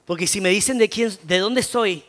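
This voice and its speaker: A man with an Argentine Spanish accent preaching a conversational sermon as a pastor, often pausing on "eh" and asking "¿no?". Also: sits high, fairly bright tone. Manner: conversational, frequent filler "eh" and tag "¿no?".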